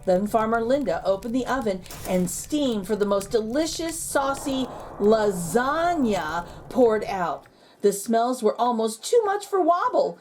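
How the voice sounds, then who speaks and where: A woman storyteller reading a children's picture book aloud in an expressive narrating style. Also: on the high side, measured pace, a little hiss or hum.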